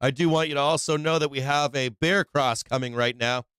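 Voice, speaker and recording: A man's voice: mid-range voice, steady tone, a little fast, a bit bright, smooth, no echo, studio quality. A man hosting a live stock trading stream, chatting casually and bluntly in slangy, profanity-laced talk. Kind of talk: casual live stream chat and market commentary.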